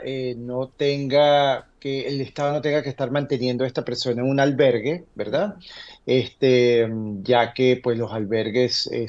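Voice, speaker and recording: A man speaking as an immigration lawyer, giving conversational advice in a halting way, often checking in with short tag questions. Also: mid-range voice, darkish tone, lo-fi sound. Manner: hesitant, halting explanations, tags statements with "verdad".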